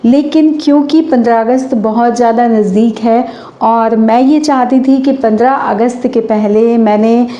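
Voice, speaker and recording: A hoarse woman hosts her channel and recites a stage anchoring script in a formal, declamatory way, slowing down for poetic couplets. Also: fairly high pitch, steady tone, warm tone, reverberant, dull audio.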